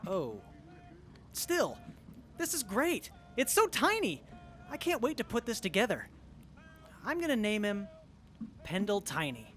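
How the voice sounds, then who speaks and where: High-pitched, lively, earnest young tinkerer played by a man. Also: unhurried, long pauses, exaggerated voice. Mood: excited, delighted. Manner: earnest, geeky, expressive. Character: earnest, excitable young tinkerer in armor, sincere and literal-minded. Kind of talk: audio drama acting.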